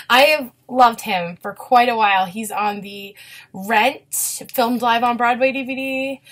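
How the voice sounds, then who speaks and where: Woman, twenties, casual vlog chat, enthusiastic, rambling, medium-pitched voice. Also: fairly bright tone. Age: 20s. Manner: enthusiastic, gushing fan talk. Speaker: vlogger showing autographs.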